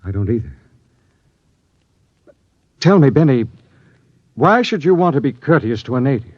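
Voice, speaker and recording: Grave expedition captain, vintage radio. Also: male voice, medium pitch, sing-song, no room sound. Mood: probing. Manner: dramatic, urgent, deliberate. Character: male expedition captain, grave, driven, desperate for answers. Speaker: narrator and lead actor. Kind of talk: old-time radio drama acting and narration. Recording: dull vintage radio recording with music.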